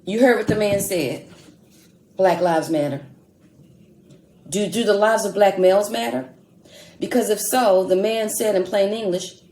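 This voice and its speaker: An echoey room surrounds this woman's mid-pitched voice as she hosts an opinion commentary. She speaks emphatically and with challenge, repeating points and leaning on rhetorical questions. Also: warm tone. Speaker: host of an online commentary show. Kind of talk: opinion commentary with read-aloud article excerpts.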